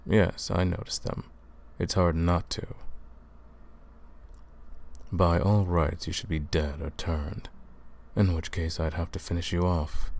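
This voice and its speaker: A man playing a centuries-old vampire hunter in a roleplay audio drama, stern and commanding, speaking deliberately and questioning the listener. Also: deep voice, little pitch movement, creaky, darkish tone, heavily acted. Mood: suspicious, intrigued.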